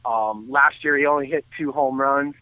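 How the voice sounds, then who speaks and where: A man giving earnest, detailed off-the-cuff baseball commentary as a radio guest, often halting and restarting his sentences. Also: mid-range voice, steady tone, dry recording. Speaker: baseball analyst and guest on a sports radio show. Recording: thin phone-like line.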